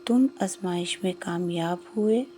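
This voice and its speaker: A woman's voice: lowish voice, warm tone, slight background noise, lightly acted. A woman voicing an earnest, formal young nobleman's son in a moral story. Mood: revealing, approving. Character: grateful young nobleman's son testing people's charity.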